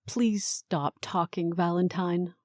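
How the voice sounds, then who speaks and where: A stern, icy female official, played by an actress in a fantasy audio drama. Her voice is lowish and mellow, and she talks very slowly in a curt, deadpan way that allows no nonsense. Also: dry recording, studio quality. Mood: cool, stern.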